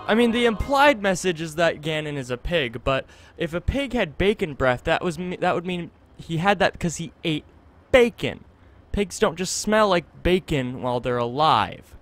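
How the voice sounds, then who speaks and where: A young man hosts a let's play with playful, rambling commentary. His voice sits high for a man and moves around in lively swings, with some game music behind it. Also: moderate pace. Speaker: gaming video host. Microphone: desktop condenser microphone.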